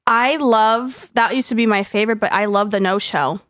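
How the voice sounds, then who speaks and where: A woman co-hosting a casual podcast, playful and giggly, with quick jokey asides. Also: mid-range voice, fairly bright tone, dry recording. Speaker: podcast co-host.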